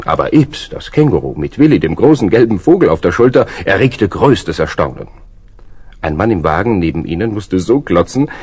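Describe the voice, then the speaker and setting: A man narrating a children's adventure radio play as a wry storyteller. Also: darkish tone. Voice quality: deep, husky.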